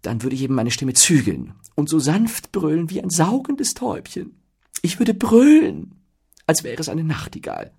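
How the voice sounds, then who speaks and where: A man voicing a boisterous, eager and vain weaver in an excitable, bombastic storybook manner. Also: a little high, moderate pace, gravelly, breathy edge, pitched above the performer's own voice, full character voice. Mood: eager, persuasive.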